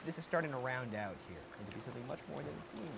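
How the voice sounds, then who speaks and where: Fast-talking teasing male co-host. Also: mid-range voice, animated, gravelly, background noise. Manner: quick, teasing, joking. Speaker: podcast co-host. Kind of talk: casual banter.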